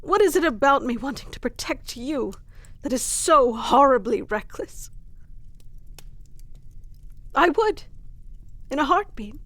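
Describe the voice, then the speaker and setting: A woman plays a proud fantasy queen in love and hurt by it. Her voice is high and swings widely with feeling. She speaks slowly and earnestly, in dramatic roleplay style, recorded close and dry. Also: a bit bright, no echo. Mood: indignant. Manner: earnest, deliberate, emotionally charged delivery. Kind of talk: dramatic audio roleplay acting.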